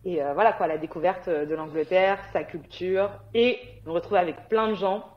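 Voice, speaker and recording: A woman's voice: fairly low pitch, moderate pace. A woman from Guadeloupe, an interview guest, talking casually with many hesitations and bursts of laughter. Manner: chatty, frequent hesitations and laughter. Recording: dull lo-fi sound.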